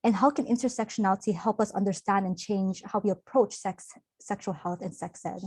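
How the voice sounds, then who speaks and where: A woman's voice: mid-range voice, brisk, a little mellow, husky, no echo. A young woman giving an online workshop talk over a video call, earnest and open, chatting naturally with fillers. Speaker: workshop presenter.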